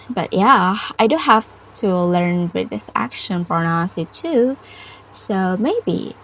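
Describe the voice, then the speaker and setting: A young woman with an Indonesian accent giving a prepared personal reflection to camera in halting, careful non-native English. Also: medium pitch, slight background noise, rough recording. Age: young adult. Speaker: university student.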